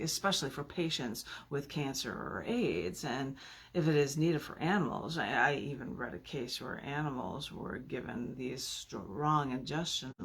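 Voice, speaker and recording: Woman, poet, raspy and creaky, mid-pitched, theatrical poetry-reading delivery. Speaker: poet reading her work. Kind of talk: dramatic poetry reading with casual asides.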